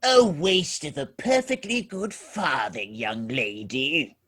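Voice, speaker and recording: A woman playing a mean-spirited, scornful old miser in a radio play, gruff and dismissive, with a husky voice. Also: low voice, slow, a bit bright, full character voice. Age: older. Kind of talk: amateur radio play acting.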